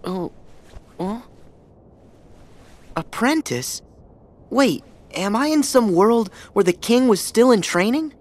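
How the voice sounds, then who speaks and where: Teenage boy, video game hero, lively intonation, measured pace, eager, earnest, exclamatory. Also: medium pitch. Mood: puzzled, surprised.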